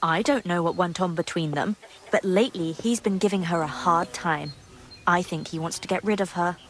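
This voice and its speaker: Guarded young maid played by an actress, ambient noise. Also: female voice, fairly low pitch, moderate pace, close to an everyday voice. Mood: indignant, confiding. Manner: guarded, secretive, earthy turns of phrase. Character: gossipy, guarded young monastery maid in medieval Bohemia. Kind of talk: naturalistic video game drama acting. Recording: clear background ambient noise.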